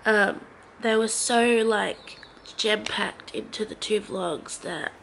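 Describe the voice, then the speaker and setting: A woman's voice: medium pitch, fairly even intonation, slow pace, vocal fry, a bit bright, slight background noise. A young Australian woman chatting casually to her camera at home, with a stuffy, blocked nose, rambling and hesitant with lots of fillers. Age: young adult. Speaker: vlogger. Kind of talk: casual vlog chat to camera. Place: living room of a house, indoors. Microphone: handheld camera at arm's length.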